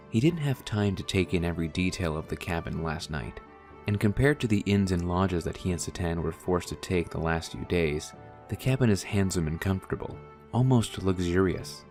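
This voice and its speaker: A man's voice: sits low, darkish tone. A man reading scripted, descriptive narration for an audio drama.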